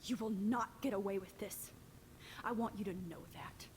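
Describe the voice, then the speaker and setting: An actress playing a defiant, distraught teenage girl held captive in a video game, speaking in an intense, hushed, accusing way. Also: mid-range voice, moderate pace, a little hiss or hum, close to an everyday voice. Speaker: heroine in a story video game. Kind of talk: dramatic video game acting.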